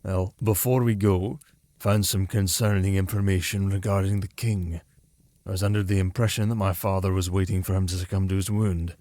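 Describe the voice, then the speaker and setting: Man playing a very deep-voiced, wry young lord. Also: level tone, moderate pace, mellow, no echo, close to an everyday voice. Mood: wry, conspiratorial. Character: wry young noble heir plotting against his father. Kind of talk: fantasy political audio drama acting.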